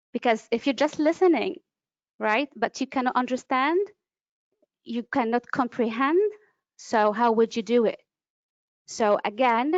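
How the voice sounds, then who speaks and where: A woman's voice: fairly high pitch, no echo. Woman giving a webinar lecture. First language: Arabic. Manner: explanatory, with frequent fillers and restarts. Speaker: webinar presenter, interpreter. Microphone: computer mic, online webinar. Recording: dull, lo-fi webinar audio.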